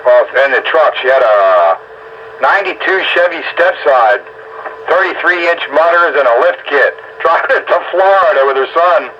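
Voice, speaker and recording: A man's voice: a little high, lively intonation, moderate pace. A middle-aged man telling anecdotes in a casual group chat over a noisy two-way radio. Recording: noisy narrow-band two-way radio audio.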